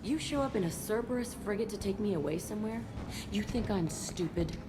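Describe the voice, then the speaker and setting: A woman's voice: mid-range voice, lively intonation, a little fast, noisy background, natural voice. An actress playing a hostile, distrustful young female convict with a blunt, taunting, sarcastic manner in a video game. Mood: hostile, suspicious.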